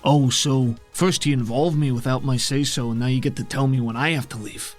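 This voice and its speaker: A man voicing a menacing, sardonic trickster in a tabletop game, drawing out folksy taunts in a husky voice. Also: mid-range voice, steady tone, brisk, faint music behind, lightly acted. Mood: menacing, taunting.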